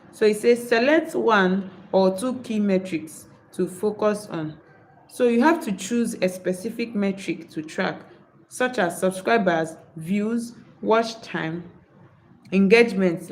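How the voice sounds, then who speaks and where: A woman's voice: mid-range voice, slight background noise, poor audio. A woman from Nigeria with a Nigerian accent, English not her first language, hosting a live video of channel tips, reading points aloud and then explaining them. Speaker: YouTube creator. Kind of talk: live-stream tips talk.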